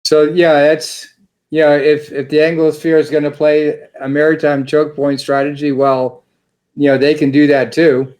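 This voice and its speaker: A man's voice: a little high, little pitch movement, normal speed, a little mellow. A man speaking as a guest on a talk show, rambling with many repeated words and restarts. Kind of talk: casual interview chat.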